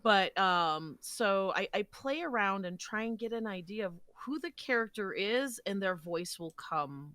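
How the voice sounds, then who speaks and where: A woman's voice: medium pitch, lively intonation, unhurried, few pauses, a bit bright, no echo. A woman speaking as an interview guest, explaining her craft conversationally and thinking aloud as she goes. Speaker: voice actor, podcast guest.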